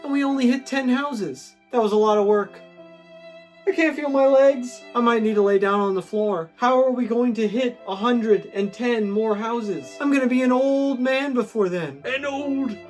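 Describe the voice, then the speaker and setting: A woman's voice: on the high side, normal speed, a little mellow, soft background music, lightly acted. A woman voicing an eager, imaginative young boy dinosaur in a children's storybook, with a dramatic, exaggerated delivery. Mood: worn out, dramatic. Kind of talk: children's storybook character reading.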